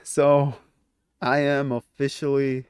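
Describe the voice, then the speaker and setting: A man's voice: mid-range voice, level tone, slow pace, darkish tone, clean tone, no room sound, studio quality. A man of twenty talking casually to his channel, rambling and restarting his sentences and laughing at himself now and then. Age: 20s. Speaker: YouTuber. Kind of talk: casual reflective vlog talk.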